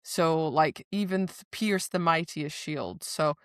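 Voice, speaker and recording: A woman's voice: low voice, slow, clean tone, dry recording, clean studio sound. A woman co-hosting a podcast in a casual book discussion, with wry, joking asides. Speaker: podcast co-host.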